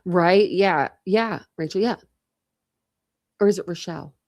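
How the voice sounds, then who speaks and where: A woman's voice: fairly low pitch, unhurried, a little mellow. A woman in her forties hosting a casual true crime livestream, thinking aloud and rambling, with a slight lisp from a dental retainer. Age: 40s. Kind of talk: casual livestream chat with viewers.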